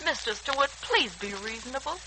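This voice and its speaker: Dutiful young nurse, 1940s radio serial. High, piercing voice, formal and unyielding, on a lightly hissy old recording. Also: female voice, expressive, fairly quick, bright, forceful tone, rough recording. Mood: polite, firm. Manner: formal, polite but firm. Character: dutiful, steadfast young nurse standing her ground.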